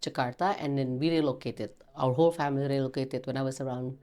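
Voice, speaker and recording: Low-voiced Indonesian woman in her forties. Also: fairly even intonation, fast talker, warm tone. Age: 40s. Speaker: interview guest, freelance interpreter. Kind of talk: conversational personal interview.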